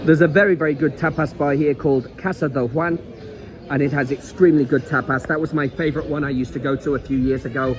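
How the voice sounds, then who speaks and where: Liverpool guy vlogging on a seaside promenade, kinda high voice, chatty and rambling. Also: steady tone, fast, slight background noise. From England. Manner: chatty, rambling, repeats words. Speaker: travel vlogger. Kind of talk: casual walking travel vlog.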